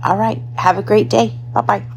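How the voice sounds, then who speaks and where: A woman's voice: medium pitch, fairly even intonation, moderate pace, vocal fry, a little hiss or hum. A woman giving an informal recorded testimonial as a guest contributor.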